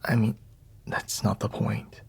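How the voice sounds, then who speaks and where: A man playing a flustered, secretly tender young bodyguard in an intimate ASMR roleplay, speaking haltingly in a husky, breathy near-whisper. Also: lowish voice, level tone, heavily acted. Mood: flustered, hesitant. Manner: hesitant, halting, grudgingly soft, frequent sighs.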